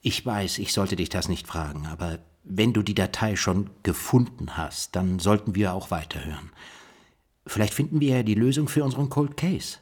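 Curious cold case investigator, deep raspy voice, radio drama dialogue. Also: male voice, animated, normal speed, a little mellow.

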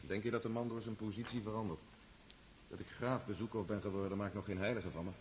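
An actor in a Dutch radio drama playing a brooding, earnest young Russian count who reproaches himself. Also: male voice, fairly low pitch, steady tone, fast, close to an everyday voice. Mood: earnest, troubled. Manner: earnest, self-accusing. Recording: hissy old radio play recording.

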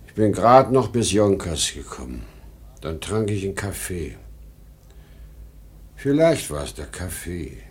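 A man's voice: low voice, sing-song, slow pace, long pauses, heavily acted. An actor playing an exhausted, rambling salesman past sixty, proud and erratic, in a vintage German radio drama. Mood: exhausted, agitated. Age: 60s. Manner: weary, rambling, given to boastful outbursts. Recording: noisy vintage 1950 radio recording.

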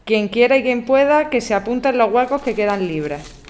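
Woman playing a high-voiced brisk activist chairing an assembly. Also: animated, a little hiss or hum, natural voice. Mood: brisk, organising. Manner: brisk, organising, addressing a group. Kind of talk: scripted amateur radio drama.

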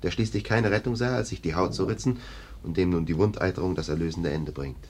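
Deep-voiced, brusque police lieutenant. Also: male voice, quick pace. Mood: insistent, scornful. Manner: clipped, authoritative. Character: brusque, sceptical male police lieutenant, official and dismissive. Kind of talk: German radio play acting. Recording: noisy archive radio play recording.

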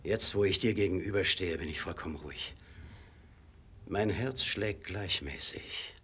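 An actor playing a brash, infatuated rogue in a radio drama, coaxing and boasting with intense devotion. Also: male voice, deep voice, a little slow, sharp tone, slightly rough, exaggerated voice. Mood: ardent, coaxing. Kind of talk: German radio drama acting.

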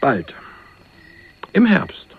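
An actor playing a cool, evasive young heir sitting in jail in a German radio crime drama, laconic and dryly ironic. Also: male voice, drawn-out words, soft background music. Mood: bantering, guarded. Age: young adult. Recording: dull lo-fi radio recording, faint noise.

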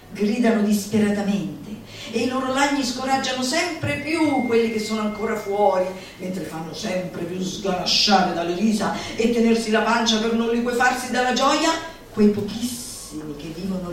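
A woman's voice: mid-range voice, animated, slight background noise. Echoey theatrical woman, emphatic and declamatory. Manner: emphatic, drawn-out delivery with spoken asides. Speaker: theatre performer. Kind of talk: theatrical declamation of a comic manifesto. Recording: echoey live recording from far off. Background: music.

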